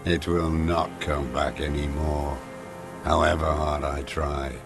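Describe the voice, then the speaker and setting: An actor playing a bitter, weary old communist deserter and former commissar in a video game, speaking in terse, brooding phrases with a smoker's rasp. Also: male voice, a bass voice, level tone, slow, full character voice. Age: older. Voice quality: gravelly, coughing smoker's voice. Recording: music under the voice.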